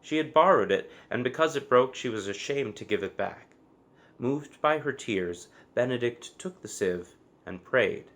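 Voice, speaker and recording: A man's voice: on the low side, a bit bright. Man reading a children's story aloud. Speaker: storybook reader.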